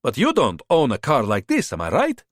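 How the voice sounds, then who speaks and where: A man voicing a smooth-talking male border fixer of about thirty, speaking broken English with a foreign accent, pushy and flattering. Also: mid-range voice, moderate pace, no room sound, studio quality, pitched above the performer's own voice. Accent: unplaceable foreign accent.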